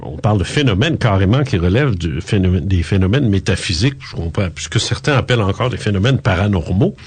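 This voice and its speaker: A man's voice: deep voice, lively intonation, fast, darkish tone, husky. A man hosting a radio interview, talking hesitantly with many fillers and restarts. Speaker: radio host. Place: radio studio.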